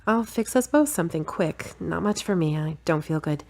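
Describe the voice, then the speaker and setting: Female lead, audio drama: frank, stubborn girlfriend with a lowish voice, brisk and a little bossy acted by a woman. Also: lively intonation, creaky, darkish tone. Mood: frank, weary.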